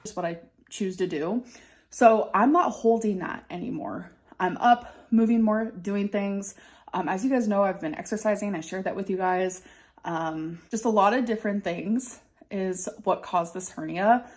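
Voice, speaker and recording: A woman's voice: medium pitch, normal speed, darkish tone. A woman in her thirties talking casually in a personal vlog, rambling with many fillers. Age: 30s. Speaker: vlogger.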